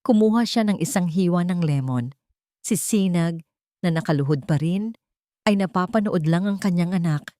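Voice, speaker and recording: A woman's voice: fairly low pitch, moderate pace, mellow, no room sound, studio quality. A woman with a Filipino accent narrating a scripted story dramatically, as a storyteller.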